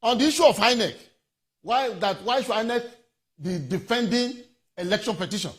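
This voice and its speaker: A man's voice: sits high, expressive, moderate pace. A Nigerian man speaking as a panel guest, making his case emphatically and repeating phrases for stress. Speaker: legal commentator guest. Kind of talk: televised panel debate.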